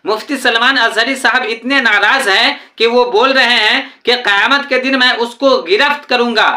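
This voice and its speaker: A woman narrator with a fairly high, bright and forceful voice. She delivers scripted explanation as a video presenter. The recording has a clearly roomy echo. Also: normal speed.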